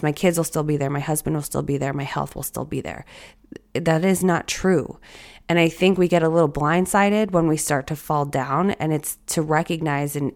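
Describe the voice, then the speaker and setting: A woman in her thirties hosting a solo podcast, her voice raspy and hoarse, talking in a reflective, encouraging way straight to her listeners. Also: low-pitched, darkish tone. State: hoarse from allergies or illness. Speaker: podcast host.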